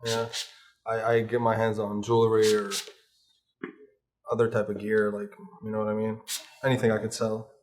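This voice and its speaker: A young man from Palestine, a hip hop artist, chatting in a low-key, laid-back way while getting a haircut in a barbershop. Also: monotone, normal speed, long pauses, darkish tone, a little hiss or hum. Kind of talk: casual interview chat.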